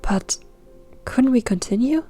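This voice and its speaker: A woman's voice: on the high side, lively intonation, a little slow, dark tone, faint music behind, pitched above the performer's own voice. Woman as an earnest, studious young alchemist man. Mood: pleading. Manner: earnest, clinical when reporting. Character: curious, studious young male alchemist, soft-hearted.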